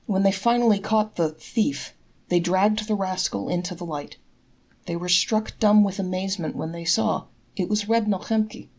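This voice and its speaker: A woman's voice: mid-range voice, moderate pace, darkish tone. A woman storyteller reading scripted folktales aloud as narration.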